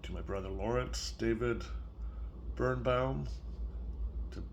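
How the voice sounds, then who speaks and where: Older male storyteller, slow and gentle, slightly gravelly, conversational read-aloud for a small child. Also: medium pitch, dull audio, background noise.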